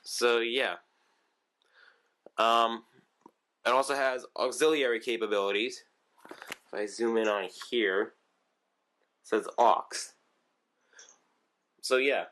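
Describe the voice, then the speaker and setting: A man with a crisp, bright voice talks through his collection of radios in a loose, chatty way. He rambles, restarts sentences and fills gaps with ums, like someone filming a casual home video. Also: medium pitch, steady tone, slow, pauses often, a little hiss or hum. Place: room at home with shelves of radios.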